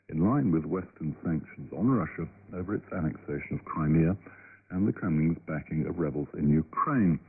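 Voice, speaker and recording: A man's voice: low-pitched, husky, phone-quality sound. A man presenting international radio news, reading from a script over a crackly shortwave broadcast.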